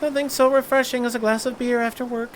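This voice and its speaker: A woman playing a composed, nostalgic working woman in a video game, speaking in a measured, confiding way. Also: a little high, steady tone, a little hiss or hum, close to an everyday voice. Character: composed working woman, nostalgic regular customer, Miss Yoshi.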